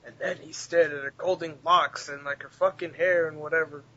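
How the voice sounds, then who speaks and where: Man, high pitch, bright tense voice, sarcastic podcast banter, joking, lo-fi muffled sound. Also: fairly even intonation, a little fast. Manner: mocking, sarcastic. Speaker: podcast co-host. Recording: muffled lo-fi voice chat audio.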